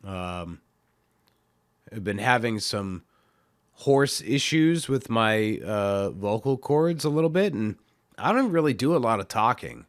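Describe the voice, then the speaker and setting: A man hosting his own podcast, talking in a loose, rambling way with lots of fillers, his voice hoarse. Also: fairly low pitch, expressive, unhurried. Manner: rambling, filler-heavy, self-deprecating. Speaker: podcast host. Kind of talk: casual solo podcast talk.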